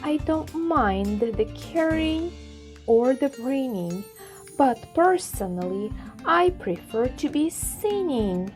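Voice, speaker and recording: Woman voicing an earnest, high-pitched young cartoonish elephant. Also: expressive, slow pace, a little mellow, soft background music. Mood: earnest, wistful. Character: naive, cheerful young male elephant who loves singing.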